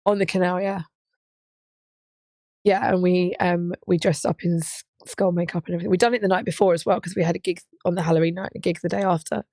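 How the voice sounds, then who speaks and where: Female co-host in her twenties to thirties, slightly hoarse with gravel, chatty and giggly, dry mic. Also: lowish voice, fairly even intonation, fast talker, darkish tone, no room sound. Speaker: podcast co-host, touring musician. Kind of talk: casual live podcast chat.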